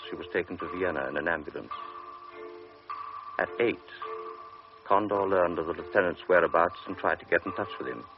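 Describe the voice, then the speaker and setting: Male narrator, very deep voice, grave and measured; dull vintage radio sound with faint music. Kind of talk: scripted radio drama narration.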